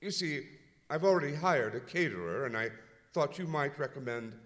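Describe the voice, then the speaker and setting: A man playing a genial, hesitant orchestra manager, speaking politely and haltingly in old-time radio comedy style. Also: fairly high pitch, expressive, fairly bright tone. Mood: mild, chatty. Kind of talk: old-time radio comedy acting, live stage revue. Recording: echoey hall, live stage.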